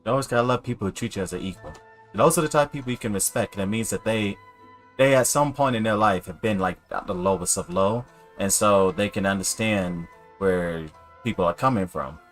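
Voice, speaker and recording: A man's voice: on the low side, brisk, faint music behind. A man giving casual gameplay commentary as a live streamer.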